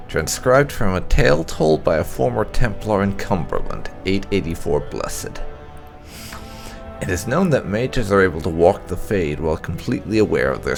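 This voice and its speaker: Low-pitched man reading aloud, gravelly edge. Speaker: gaming channel host. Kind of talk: scripted narration, reading game lore aloud.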